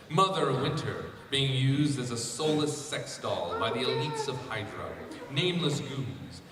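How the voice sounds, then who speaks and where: A man reading fan fiction aloud as host of a live panel, breaking into amused asides as he goes. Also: medium pitch. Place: large convention panel room. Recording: echoey hall from far off, loud crowd. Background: loud audience crowd.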